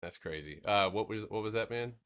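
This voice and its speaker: A man with a very deep voice hosts a relaxed livestream recap of the market. His talk is loose and rambling, with casual swearing. He settles into a steady, explanatory tone when he walks through chart levels. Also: a little fast, slight background noise. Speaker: stock trading streamer.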